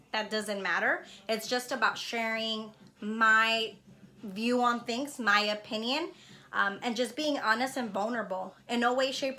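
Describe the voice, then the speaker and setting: A woman's voice: fairly high pitch, sharp tone. A woman talks casually and earnestly to her viewers, reflective and often checking in with a "right?". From born in Honduras, living in Los Angeles. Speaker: spiritual vlogger and card reader. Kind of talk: casual heartfelt talk to viewers.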